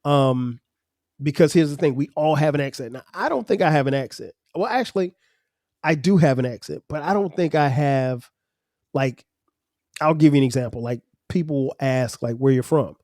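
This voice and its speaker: South carolina guy hosting a podcast, kinda nasal and stuffy, dark warm voice, lots of restarts. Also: mid-range voice, moderate pace, dry recording, studio quality. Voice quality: slightly nasal. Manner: rambling, conversational, many restarts. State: congested sinuses. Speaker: podcast host. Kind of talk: casual solo podcast talk.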